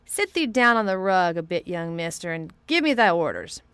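A woman's voice: a little high, big pitch swings, normal speed, fairly bright tone, dry recording, pitched above the performer's own voice. A woman voicing a crabbed old male gardener in broad Yorkshire dialect, dry and blunt, as she reads a storybook aloud. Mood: gruff.

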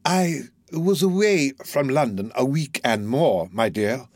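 A man voicing a crafty, wheedling old male fence in a storybook reading, slow and fawning. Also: medium pitch, darkish tone, no echo, clean studio sound. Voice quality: oily, fawning, drawn-out.

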